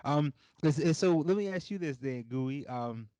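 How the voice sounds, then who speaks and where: A man's voice: on the high side, expressive, moderate pace, warm tone, no room sound. A man hosting a podcast interview in a casual, upbeat, informal way.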